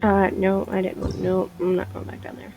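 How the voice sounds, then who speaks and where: A woman's voice: medium pitch, monotone, normal speed, gravelly, muffled recording. A woman livestreamer reacting casually to a game, with dry, muttered asides. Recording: game music behind the voice.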